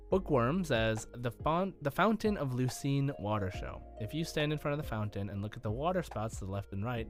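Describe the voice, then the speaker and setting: Male podcast host, mid-pitched voice, casual gamer chat, halting and rambling, faint music behind.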